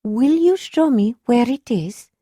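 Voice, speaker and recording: A woman voicing a calm foreign healer with an unusual accent, asking careful, gentle questions. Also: on the high side, takes it slowly, breathy edge, no room sound, studio quality, voice pushed up, full character voice. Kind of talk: audiobook character voice.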